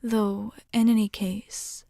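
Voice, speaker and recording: A woman playing a polite, composed vampire bartender in an ASMR roleplay, speaking softly and formally with breathy, half-whispered words and thoughtful pauses. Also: medium pitch, little pitch movement, dry recording, studio quality. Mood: reassuring, polite. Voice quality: soft, breathy, partly whispered. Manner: formal, measured, courteous, hesitant pauses. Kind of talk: ASMR roleplay, soft whispery speech to the listener.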